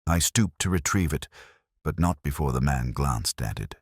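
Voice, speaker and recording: A man narrating a literary detective story as a brooding, world-weary inspector, in a measured, reflective and formal manner. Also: extremely low pitch, animated, husky, dry recording, clean studio sound. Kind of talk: literary first-person scripted narration.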